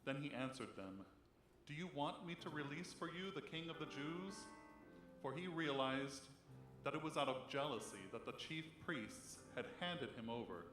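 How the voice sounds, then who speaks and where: A man's voice: on the high side, animated, normal speed. A man reading scripture aloud as a narrative dramatic Bible reading. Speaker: scripture reader. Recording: echoey church, music behind.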